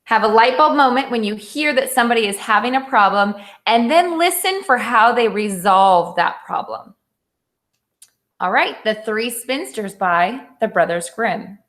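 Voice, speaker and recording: A woman's voice: a little high, a bit bright. A woman teacher giving a read-aloud lesson for children, explaining things simply and instructively. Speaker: elementary school teacher.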